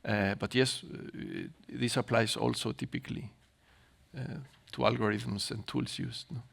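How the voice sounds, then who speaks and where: A man with a strongly gravelly, fry-laden voice gives an informal panel answer as a researcher. His phrasing is halting, with small questioning tags at the ends of thoughts. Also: mid-range voice, steady tone. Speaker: keynote speaker, panelist, researcher.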